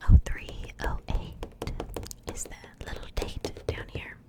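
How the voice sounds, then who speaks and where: A woman speaking in a breathy, unvoiced whisper as an ASMR host, chatting casually and unhurriedly as she comments on an unboxing. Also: takes it slowly.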